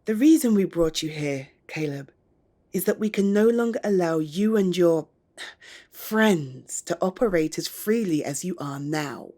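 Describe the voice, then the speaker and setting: A stern council elder, voiced by a woman, measured and formal with an air of command. Her voice sits a little low. She keeps order in this audio drama. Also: lively intonation, dark tone.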